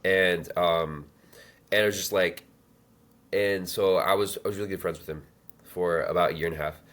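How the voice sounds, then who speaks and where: A man in his thirties telling his life story as an interview guest, halting with many fillers and restarts. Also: sits low, steady tone, a bit bright. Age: 30s. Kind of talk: reflective personal storytelling.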